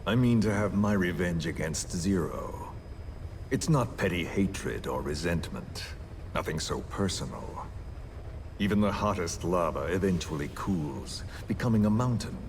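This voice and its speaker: A cold menacing game villain, really low voice, solemn n philosophical, noisy background. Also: male voice, lively intonation. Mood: solemn menace. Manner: slow, deliberate, solemn monologuing. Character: calm, coldly vengeful male villain, philosophical and menacing. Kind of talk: dramatic video game cutscene acting.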